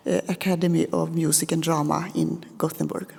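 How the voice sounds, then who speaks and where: A reverberant hall carries the gravelly, creaky voice of a woman with a Swedish accent. She talks as a teacher on a panel, explaining informally. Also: low-pitched, level tone, a little fast, a little mellow. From Sweden. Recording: echoey hall, long reverb.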